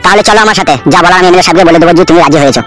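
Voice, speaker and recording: A man's voice: high voice, rapid-fire, fairly bright tone, soft background music. A man voicing a smug young henchman, bossy and persuasive in a comedy cartoon. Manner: bossy, matter-of-fact persuading.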